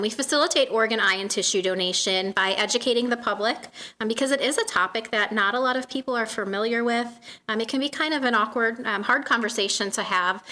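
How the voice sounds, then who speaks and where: A soft-spoken woman in her thirties giving a presentation to a community meeting in a room, with frequent "um" fillers. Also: on the high side. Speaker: guest speaker from a donation nonprofit.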